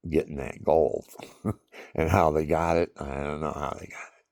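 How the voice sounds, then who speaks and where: A man's voice: extremely low pitch, creaky, darkish tone, no echo, studio quality. A man telling rambling personal stories in a meandering way, repeating himself and chuckling at his own tales. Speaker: vlogger.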